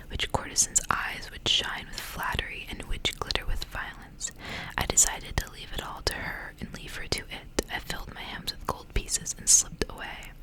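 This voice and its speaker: Softly whispering woman, ASMR style. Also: poor audio. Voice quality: breathy unvoiced whisper. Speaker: ASMR creator reading stories aloud. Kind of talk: whispered ASMR storybook reading with casual asides.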